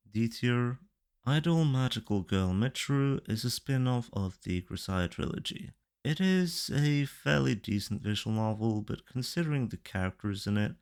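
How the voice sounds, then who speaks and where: A man's voice: lowish voice, clear, resonant tone. A man giving casual, opinionated review commentary in a matter-of-fact way. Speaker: visual novel reviewer.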